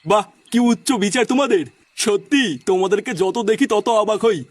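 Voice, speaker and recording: Guy doing an excitable cartoon doctor, husky but really high, quick talker. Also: no echo. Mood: polite, grateful. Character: excitable adult male cartoon doctor, polite but easily flustered. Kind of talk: Bengali cartoon comedy dubbing.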